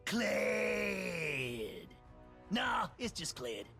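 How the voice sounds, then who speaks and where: A bright, piercing little redneck creature, cantankerous and crazy, with a scratchy Southern US drawl. The delivery is rowdy and swoops around like a video game cartoon, with music underneath. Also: male voice, sits high, big pitch swings, drawn-out words, creaky, breathy edge, exaggerated voice. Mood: rowdy, taunting. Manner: rowdy, cantankerous, folksy, often shouting. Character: crazy belligerent little male yordle, redneck old grump. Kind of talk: video game character voice lines.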